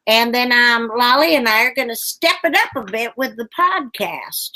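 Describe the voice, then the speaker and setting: Kobieta grająca gadatliwą 95-letnią babcię. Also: female voice, a little high, expressive, normal speed, pushed and bright, rough recording. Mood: chatty. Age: older. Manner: rambling, folksy, sighing. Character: chatty 95-year-old granny puppet, sleepy.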